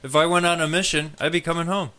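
A man's voice: a little high, fairly even intonation, quick pace, over a noisy crowd. A man co-hosting a casual podcast, joking around in a laid-back, profane way. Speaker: podcast co-host.

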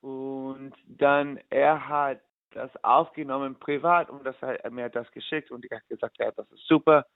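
American man, gravelly voice on a phone-like line. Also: medium pitch, fairly even intonation, no room sound. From United States. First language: English. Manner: halting German, switching into English. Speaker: musician guest. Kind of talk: casual interview in learner German.

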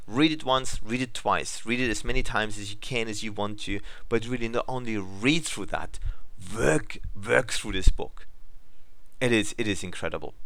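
A man's voice: mid-range voice, pushed and bright, no room sound. A man from Germany, speaking English with a German accent, giving an emphatic motivational talk to listeners. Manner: emphatic, repetitive, coaching tone.

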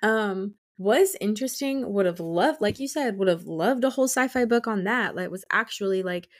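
A woman's voice: medium pitch, lively intonation, moderate pace. A woman in casual book discussion as a co-host, talking informally with exclamations and plenty of "like".

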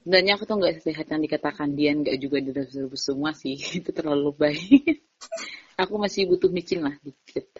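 A woman's voice: sits low, brisk, a little mellow, husky, no room sound, muffled recording. A young woman, a podcast guest, chatting casually, telling stories animatedly and giggling often. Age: young adult. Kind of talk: casual chat.

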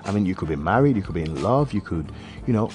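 Rambling low-voiced man podcasting. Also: fast talker. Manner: rambling and hesitant, full of uhs and restarts; swings between animated outbursts and low mutters. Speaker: podcast host. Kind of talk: casual solo podcast monologue.

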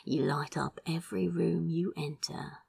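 A tender, teasing male sorcerer, acted out by a woman reader in an audiobook romance. He murmurs poetically in a low, half-whispered voice, slow and very close and dry. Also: steady tone, gravelly, a little mellow, husky, no echo, recorded from a distance, exaggerated voice. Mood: adoring.

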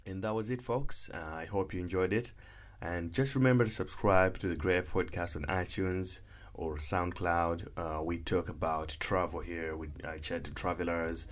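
A young man from Malawi hosting a podcast, chatting in a relaxed way and often agreeing with his guest. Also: low-pitched, normal speed, few pauses. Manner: relaxed, chatty, with frequent affirmations. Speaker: podcast host. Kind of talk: casual interview conversation.

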